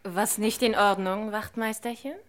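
An actress playing a cool, scheming, flirtatious femme fatale in a crime radio comedy. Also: female voice, medium pitch, fairly even intonation, measured pace, a little hiss or hum, natural voice. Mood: mocking. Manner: coaxing, mocking.

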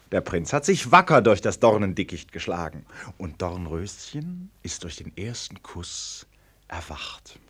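An urbane storyteller narrates a literary radio play. He is wry and theatrical, with a medium-pitched voice that moves expressively up and down. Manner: theatrical, wry, rhetorical questions. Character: urbane, ironic male storyteller who banters with the characters.

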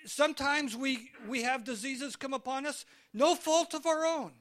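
Man, American accent, high-pitched voice, preaching in short phrases, pauses for interpreter, restarts often. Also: a bit bright. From California. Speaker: guest preacher. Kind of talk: sermon delivered through an interpreter.

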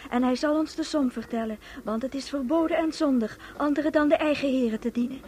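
A woman's voice: sits high, a little fast, darkish tone, a little hiss or hum, natural voice. An actress playing a young woman in an absurdist radio play. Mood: solemn reciting. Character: young woman, defiant, then solemnly reciting doctrine.

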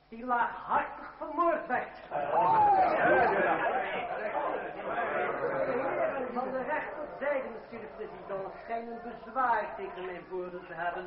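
Radio play: defiant male deputy, formal oratory, distant and muffled in an echoing chamber. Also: very high-pitched. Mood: sardonic, formal. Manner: rhetorical, sardonic, formal. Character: defiant, eloquent young revolutionary deputy addressing an assembly. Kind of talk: old radio drama oratory. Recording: dull old radio recording, echoey hall, crowd. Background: noisy assembly crowd.

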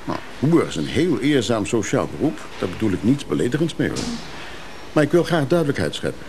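Radio drama casting: a curt, sardonic, world-weary male, very expressive intonation, over clearly noisy ambience. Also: medium pitch, a little fast. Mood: scornful, irritated. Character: blunt, scornful, world-weary man, wistful about his daughter. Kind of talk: naturalistic Dutch radio drama acting.

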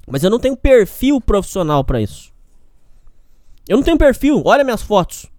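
Man, caipira Brazilian accent, solo podcast host, rambling and repetitive, lively rising voice, dry close mic. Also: sits high, a little fast, no room sound. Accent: Brazilian countryside (caipira). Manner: rambling and repetitive, speaks straight to the listener. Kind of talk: casual solo podcast monologue.

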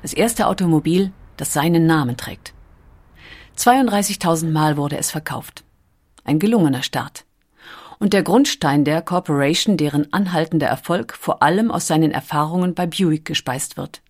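A woman narrating a documentary in a calm, even storytelling manner. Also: deep voice, moderate pace.

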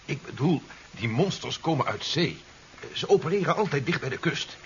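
A cautious army general in a seventies sci-fi radio serial, earnest and apprehensive with lively swings of pitch. The vintage recording is dull with some noise. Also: male voice, normal speed. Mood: urgent, cautious. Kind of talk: 1970s science fiction radio play acting.

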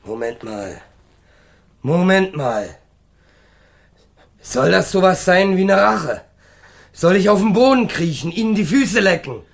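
Radio thriller: young small-time criminal, insolent yet cowardly, colloquial delivery. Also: male voice, high-pitched, big pitch swings, slow, vocal fry, long pauses, full character voice. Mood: mocking, defiant. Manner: cocky, sneering, colloquial. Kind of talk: German radio crime drama acting.

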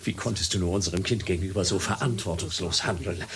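A man's voice: low-pitched, fairly even intonation, fast talker. An actor playing an indignant, pompous man who declaims his complaints in a radio play. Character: indignant, pompous male citizen, easily outraged.

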